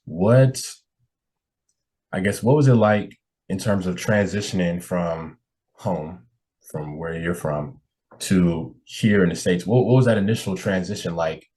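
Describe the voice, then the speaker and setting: Man, low voice, podcast host, casual conversational questions, encouraging manner. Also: unhurried, dark tone.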